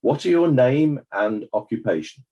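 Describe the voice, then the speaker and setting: A man's voice: medium pitch, expressive, moderate pace, mellow, natural voice. A man playing a formal, polite examiner putting direct questions to witnesses in a dramatized inquest. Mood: formal, inquiring.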